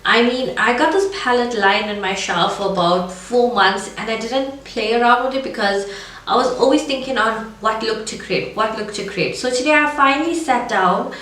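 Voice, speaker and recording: A woman's voice: a little high, normal speed, a bit bright. A woman with a cold and a scratchy, congested voice, chatting casually through a makeup review in a rambling way. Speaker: beauty vlogger. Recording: echoey room, far from mic, faint noise.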